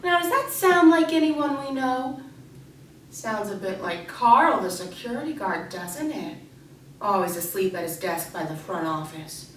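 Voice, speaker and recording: A woman's voice: mid-range voice, animated, fairly bright tone. Echoey, intense grade-school teacher, played by a woman. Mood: pointed, coaxing. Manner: over-enunciated, addressing children, dramatic pauses. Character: grade-three schoolteacher, intense, paranoid, falsely sweet woman. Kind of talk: theatrical dramatic monologue. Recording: echoey room, distant mic, faint noise.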